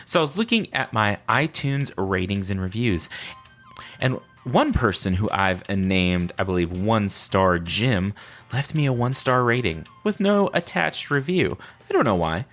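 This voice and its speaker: Man, podcast host, deep-ish voice, very lively intonation, playful casual chat, music behind. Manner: informal, playful, chatty. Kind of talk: casual solo podcast talk.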